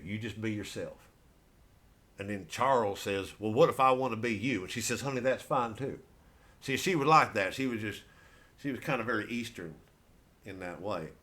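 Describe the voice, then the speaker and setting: A man's voice: medium pitch, fairly bright tone. Folksy American man telling rambling comic stories. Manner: folksy, colloquial storyteller with drawn-out, meandering delivery. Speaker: stand-up comedian. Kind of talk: rambling comic storytelling monologue.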